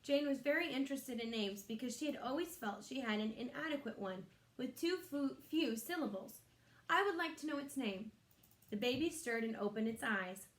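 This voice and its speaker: A woman's voice: on the high side, lively intonation, moderate pace. A woman reading a children's story aloud expressively, like a teacher, in an echoey room.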